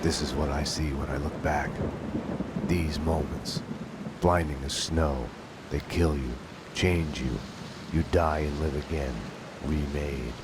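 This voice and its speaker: An actor playing a world-weary, fatalistic detective who narrates his own downfall in a grim, deliberate noir style. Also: fairly even intonation, very noisy. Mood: brooding, fatalistic. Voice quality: very deep, low-voiced. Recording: loud music behind the voice. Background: loud game soundtrack music.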